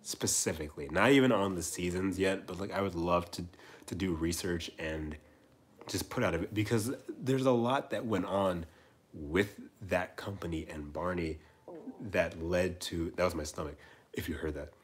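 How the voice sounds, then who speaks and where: A man in his twenties hosting a casual livestream chat, rambling and repeating himself with soft trailing asides. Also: low-pitched, normal speed, breathy edge. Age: 20s. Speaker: livestream host.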